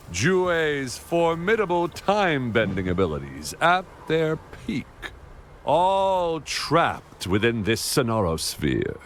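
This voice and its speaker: A man voicing a gloating villain in a video game, taunting his enemy in a theatrical, drawn-out way. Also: on the high side, a bit bright, slight background noise, exaggerated voice.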